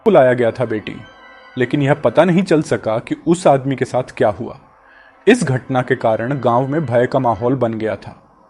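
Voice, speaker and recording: A man voicing a grave village landlord father in a horror cartoon, telling things in a solemn, deliberate way. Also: medium pitch, a little mellow, husky, natural voice. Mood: grave, ominous. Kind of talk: Hindi animated horror story dialogue.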